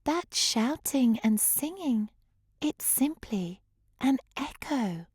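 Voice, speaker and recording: A woman voicing a wise, tiny fluttering creature in a storybook, explaining softly and kindly. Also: high voice, expressive, takes it slowly, breathy edge, no echo, studio quality, voice pushed up, heavily acted. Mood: gentle, helpful.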